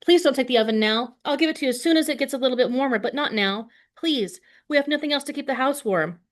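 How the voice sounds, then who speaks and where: A woman putting on a tearful, begging mother. She reads her raised, strained and pleading, her voice pushed up high and loud as she begs for her children in a story read aloud. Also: moderate pace, natural voice. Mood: desperate pleading. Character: desperate pleading mother, middle-aged woman.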